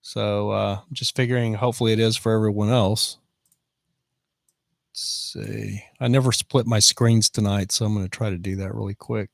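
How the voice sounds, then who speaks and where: A man's voice: fairly low pitch, steady tone, moderate pace, creaky, no echo, clean studio sound. A man in his fifties hosting a casual live stream, chatting and reading out viewer comments. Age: 50s. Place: van in the rain.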